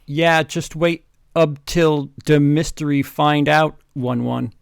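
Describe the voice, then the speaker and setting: A man acts out a villainous wizard professor from a comic fan fiction reading. The figure sneers and declaims dimly, with babyish mispronunciations. The voice is raised and gravelly, captured very dry. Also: on the high side, steady tone, slow, no echo, voice pushed up. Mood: taunting.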